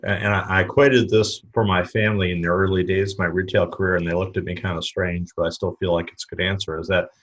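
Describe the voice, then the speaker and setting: A man's voice: low-pitched, flat delivery, quick pace, few pauses, fairly bright tone, muffled recording. A middle-aged man from the Chicago suburbs, a retail educator, speaking as a podcast guest in a thoughtful way, often repeating words as he restarts phrases. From Chicago suburbs, USA. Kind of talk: conversational podcast interview.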